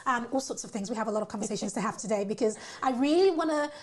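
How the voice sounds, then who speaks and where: Female talk show host, very high voice, effusive and enthusiastic in delivery. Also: expressive, racing. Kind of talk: enthusiastic interview show hosting.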